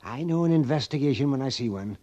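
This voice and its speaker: An actor playing a nervous, suspicious army post storekeeper in a radio Western, fussy and hesitant, with a husky, creaky voice that drops to whispers. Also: male voice, mid-range voice, animated, quick pace, dark tone, close to an everyday voice. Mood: indignant, suspicious. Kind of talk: old-time radio Western acting. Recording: dull old radio recording.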